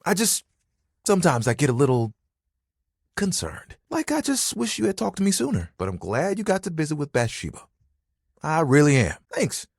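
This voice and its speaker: A man's voice: fairly high pitch, very animated, normal speed, gravelly, breathy edge, no room sound, clean studio sound, heavily acted. A man voicing a young, easygoing, sensitive living towel in a video game, talking casually and earnestly with some hesitant, theatrical turns. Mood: flustered, defensive. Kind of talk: video game character voice acting.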